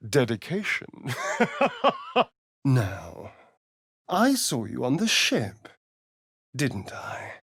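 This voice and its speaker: An actor voicing a sly, vain vampire rogue for a video game, with an English accent and an arch, theatrical, drawling delivery. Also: male voice, medium pitch, breathy edge, heavily acted. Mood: arch, amused, then measured, wary.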